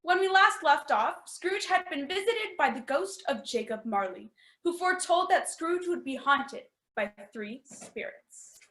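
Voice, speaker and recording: A woman's voice: high voice, lively intonation, measured pace, hardly any pauses, fairly bright tone. A woman delivering scripted announcements for a radio play in an old-time broadcast style. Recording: roomy, echoing voice recording.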